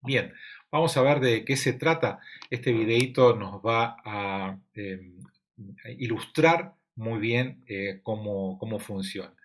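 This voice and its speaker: A man with an Argentine accent giving a technical medical presentation over slides, explaining in a steady way with frequent fillers. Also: fairly low pitch, takes it slowly. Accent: Argentine Spanish. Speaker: lecturer at a medical imaging congress.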